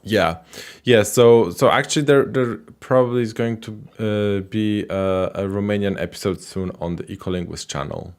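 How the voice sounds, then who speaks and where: A man's voice: on the low side, normal speed, few pauses, clean tone. A man hosting a live language show over a video call, moderating guests with frequent "mm-hmm"s. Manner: friendly moderating, many "mm-hmm"s. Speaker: host.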